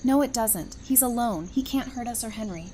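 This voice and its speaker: A woman's voice: on the high side, brisk, mellow, a little hiss or hum, close to an everyday voice. Woman playing a brave, compassionate fighter. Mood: pleading. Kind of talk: audio drama acting.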